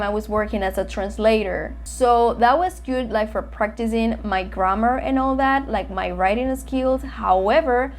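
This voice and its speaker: A woman's voice: mid-range voice, slight background noise. A woman in her late twenties from Venezuela, a native Spanish speaker with a Venezuelan accent, chatting expressively as a teacher telling a story to learners. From Maracaibo, Venezuela. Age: late 20s. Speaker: English teacher and video host. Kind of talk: casual storytelling for language learners.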